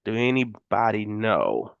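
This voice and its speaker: A man's voice: lowish voice, very animated, unhurried, creaky, clear, resonant tone, dry recording, muffled recording. Casual man hosting a live stream. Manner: casual, addresses chat with quiz questions. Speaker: host.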